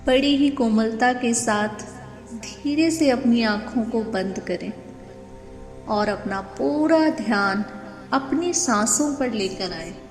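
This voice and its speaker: A woman leading a guided meditation in a gentle, soothing manner, unhurried and leaving long pauses. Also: on the high side. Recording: echoey long reverb with music. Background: meditation music.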